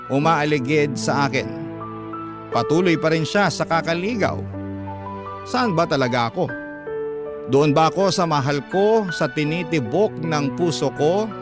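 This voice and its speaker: A man narrating a scripted first-person radio story in a schoolgirl's words, confiding and dramatic. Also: on the high side. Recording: music behind the narration.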